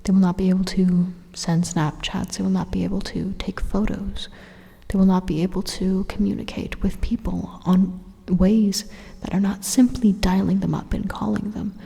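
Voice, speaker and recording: A woman voicing a sinister, condescending AI supercomputer in a breathy, half-whispered ASMR monologue, slow, deliberate and menacing. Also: mid-range voice, flat delivery, mellow. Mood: condescending lecturing. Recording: reverberant hall-like echo.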